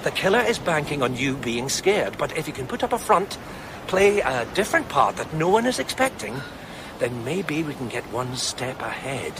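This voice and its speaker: A resolute, protective former policeman in a radio drama. His voice sits a little high and he persuades earnestly, in halting phrases. There is clear outdoor noise behind him. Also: big pitch swings. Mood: resolute, coaxing. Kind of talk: radio play acting.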